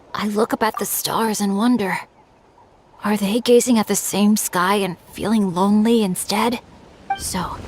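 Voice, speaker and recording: A woman's voice: mid-range voice, close to an everyday voice. A woman voicing an earnest, good-natured teenage boy adventurer in an anime-style game, chatty and a little bashful.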